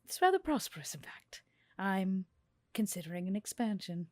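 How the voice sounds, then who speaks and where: A woman playing her character in a tabletop game, haughty and measured. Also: mid-range voice, sing-song, a little mellow, no echo, clean studio sound. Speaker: player. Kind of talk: in-character roleplay.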